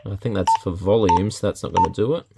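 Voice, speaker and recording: A man's voice: sits low, fast. An Australian man giving a casual, unscripted product demonstration in a laid-back way, thinking aloud as he handles items. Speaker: product reviewer. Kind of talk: casual unboxing and demonstration talk. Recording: clear background noise, handling clicks.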